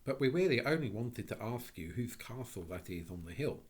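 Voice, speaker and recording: A man's voice: mid-range voice, lively intonation, normal speed, husky, pitched above the performer's own voice. A man voicing a polite, earnest young girl who speaks in an apologetic way.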